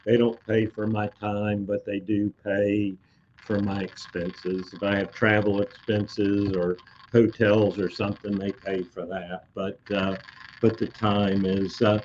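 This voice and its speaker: A man talking slowly and haltingly, with many fillers, answering viewer comments on a live webcast, his voice slightly hoarse. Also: lowish voice, flat delivery, a little mellow, highs cut off, slight background noise. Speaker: code expert on a live industry webcast.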